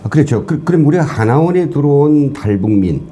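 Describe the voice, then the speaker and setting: A man with a somewhat high pitch for a man speaks as a talk show guest. His voice is mellow. He explains points earnestly, in a lecturing way. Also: expressive, fairly quick. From North Korea. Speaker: guest, former professor.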